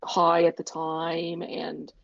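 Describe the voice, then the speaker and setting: A woman's voice: low-pitched, completely flat delivery, takes it slowly, gravelly. A woman in a book club casually chatting about what she is reading, over lo-fi sound. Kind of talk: casual chat about books.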